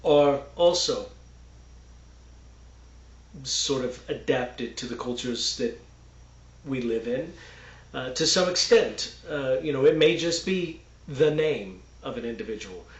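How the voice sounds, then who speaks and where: A man's voice: mid-range voice, expressive. Hesitant man talking in an echoey room. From Texas. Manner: halting, many fillers and restarts, explains with "okay" and "right". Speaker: religious commentator. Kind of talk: unscripted video monologue.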